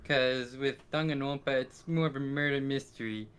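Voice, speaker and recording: A man's voice: medium pitch, steady tone, moderate pace, a bit bright, clean tone. A man talking casually on a podcast about games as a co-host.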